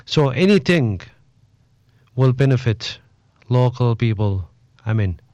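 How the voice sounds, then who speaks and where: A man interviewing casually as a radio presenter, with halting, phrasing. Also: mid-range voice, expressive, a little mellow, no room sound. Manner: halting, non-native phrasing. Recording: muffled, dull, dry close recording.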